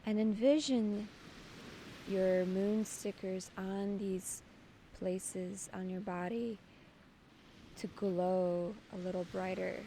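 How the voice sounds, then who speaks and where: A woman leading a guided meditation as its host, giving soothing, deliberate instructions in short phrases. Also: mid-range voice, steady tone, warm tone, a little hiss or hum.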